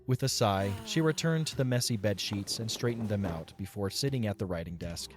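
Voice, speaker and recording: A man's voice: fairly low pitch, a little fast, music in the background. A man reading scripted story narration as the narrator of an audio drama.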